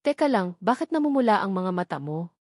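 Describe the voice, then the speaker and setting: A woman's voice: medium pitch, very animated, brisk, a little mellow, no room sound. A woman acts out a concerned man in an audiobook story. He is serious and probing, with insistent questions and a dry sound.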